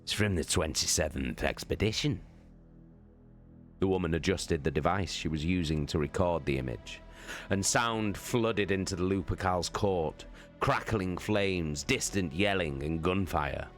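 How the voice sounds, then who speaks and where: A man giving an expressive, dramatic reading of a science fiction novel as a live streamer. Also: low-pitched, unhurried, faint music behind. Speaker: streamer and reader. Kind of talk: dramatic audiobook narration.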